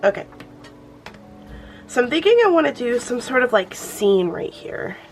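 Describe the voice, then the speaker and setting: A woman's voice: fairly high pitch, sing-song, normal speed, faint music behind. A young woman hosting a planner channel, chatting casually and thinking aloud as she crafts, her voice a bit off from a cold. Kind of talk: casual plan-with-me chat.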